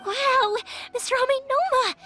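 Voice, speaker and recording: An actress playing a cheerful, kindhearted young fireworks maker in a video game, expressive and earnest. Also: female voice, very high voice, measured pace, soft background music, heavily acted. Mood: bubbly. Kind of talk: animated video game voice acting.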